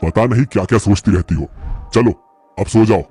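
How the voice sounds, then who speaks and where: A man's voice: on the low side, moderate pace, warm tone, breathy edge, faint music behind, pitched below the performer's own voice. A man playing a stern, sceptical husband who scolds and dismisses, in a horror cartoon. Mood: dismissive.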